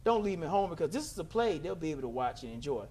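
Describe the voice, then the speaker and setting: A man speaking as a guest promoter, giving an emphatic, salesman-like pitch. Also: very animated, rapid-fire, darkish tone, husky. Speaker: guest, manager and play promoter.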